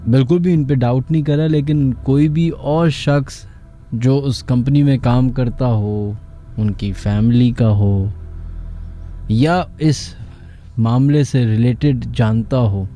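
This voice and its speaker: A man's voice: medium pitch, dark tone. A man with a Karachi Pakistani accent hosting a call-in horror radio show.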